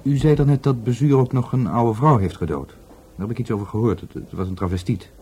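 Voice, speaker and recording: An actor playing an intense, talkative man caught up in a murder inquiry, earnest and halting in a radio play. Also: mid-range voice, mellow, a little hiss or hum, close to an everyday voice. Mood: probing, hesitant. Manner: halting, repeats words, earnest. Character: intense, talkative male murder suspect helping the police. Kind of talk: Dutch radio play acting.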